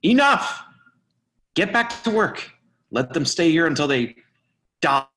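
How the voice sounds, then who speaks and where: A bossy office man played by a man in a play reading, his pitch swinging widely. He is dismissive and excitable, laying down his points with emphatic certainty. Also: slow pace, full character voice. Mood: commanding.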